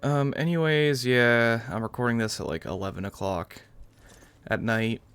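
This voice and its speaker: A man's voice: medium pitch, normal speed, a bit bright, clean tone. A tired young man giving casual, subdued, rambling let's-play commentary late at night. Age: young adult. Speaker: gaming YouTuber.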